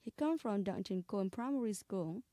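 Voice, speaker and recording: A woman's voice: mid-range voice, animated, moderate pace, mellow, no room sound, studio quality. A woman reading beginner English textbook exercises in a plain, careful way. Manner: plain, careful dictation of items. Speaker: English listening-practice reader.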